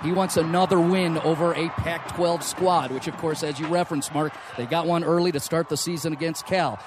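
A man doing radio sideline reports from a football stadium, speaking in a brisk, informative and conversational way. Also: a little high, steady tone, background noise. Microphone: handheld microphone with headset.

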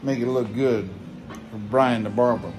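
A man's voice: mid-range voice, fairly even intonation, measured pace, loud music behind the voice. A man in his forties talking casually in a folksy, rambling way while he works on upholstery in a barbershop, filming himself close to a handheld camera. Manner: rambling, folksy, self-correcting. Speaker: DIY video host. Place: barbershop interior.

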